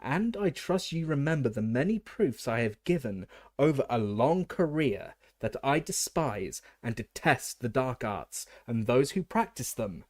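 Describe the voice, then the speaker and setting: A man's voice: mid-range voice, very animated, a little slow, dry recording, studio quality, pitched above the performer's own voice. A man voicing a senior male official who speaks with cold, clipped indignation. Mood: cold anger.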